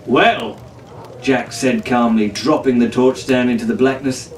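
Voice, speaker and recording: A man's voice: mid-range voice, little pitch movement, moderate pace, a little mellow. Male storybook narrator for a children's adventure, roomy and set back from the mic. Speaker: storybook reader. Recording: roomy, distant mic, faint background noise.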